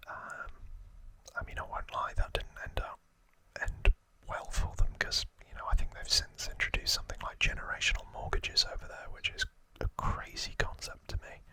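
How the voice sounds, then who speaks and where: A man whispers in a very bright, breathy ASMR delivery, with no full voice behind it. He talks as an informal host recapping trivia, musing his way through with hesitant asides. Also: moderate pace, poor audio.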